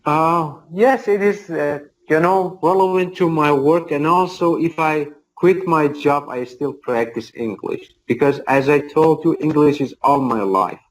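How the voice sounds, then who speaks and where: A man's voice: on the high side, unhurried, few pauses, low-quality recording. A man with an Iranian accent practicing his English, speaking earnestly and correcting himself partway through sentences. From Iran. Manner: earnest, self-correcting learner. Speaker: language student.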